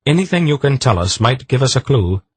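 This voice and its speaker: A man's voice: mid-range voice, sing-song, moderate pace, slightly rough, no room sound, voice pushed up. A man narrating an audiobook voices a grave, decisive woman sorceress who talks firmly and precisely. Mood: urgent. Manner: firm, urgent, precise.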